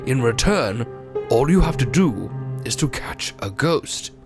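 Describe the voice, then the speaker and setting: A bluff British doctor. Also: male voice, medium pitch, sing-song, measured pace, over music. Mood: storytelling. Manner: genial, flustered, storytelling. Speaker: Dr. Watson. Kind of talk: old-time radio drama narration.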